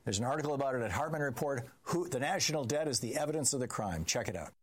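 A man hosting a political talk radio show, speaking emphatically and dropping low and quiet on serious points. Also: expressive, quick pace.

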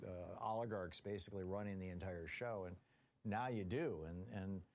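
A man's voice: low voice, steady tone, moderate pace. An older man hosting a call-in radio show, talking conversationally and repeating words as he thinks. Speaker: radio talk show host.

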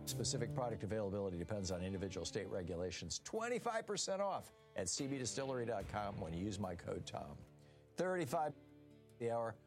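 An American man speaking as the host of a radio call-in show. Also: fairly low pitch. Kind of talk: live call-in talk and host-read ad. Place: radio studio.

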